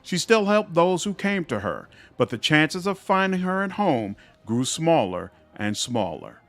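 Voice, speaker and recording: A man narrating fantasy game epilogues in a measured, expressive storybook style. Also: a little high, exaggerated voice. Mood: solemn storytelling. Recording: music under the voice.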